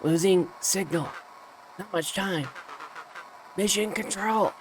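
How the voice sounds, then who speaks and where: A man's voice: high voice, slow pace, slight background noise, pitched above the performer's own voice, rough recording. A man voicing a frightened captive animal boy calling for help in a video game read-aloud. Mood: nervous, hushed.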